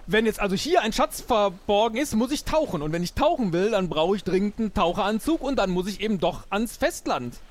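A man's voice: high voice, animated, fairly quick, few pauses. A man improvising an eager, hasty treasure-hunting adventurer in an audio drama, chatty and stammering as he thinks aloud.

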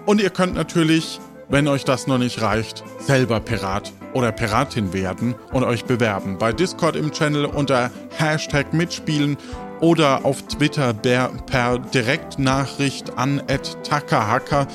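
A man's voice: medium pitch, animated, a little slow. A man hosting an improvised audio adventure podcast as game master, talking casually to players and listeners. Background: light music with guitar.

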